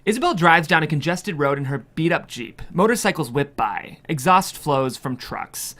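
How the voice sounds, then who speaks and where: A narrator reading screenplay stage directions in an expressive, measured way at a table read. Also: mid-range voice.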